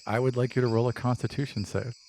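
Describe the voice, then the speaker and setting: A man's voice: medium pitch, level tone, fast talker, creaky, darkish tone, a little hiss or hum. A man narrating an improvised tabletop role-playing game as game master.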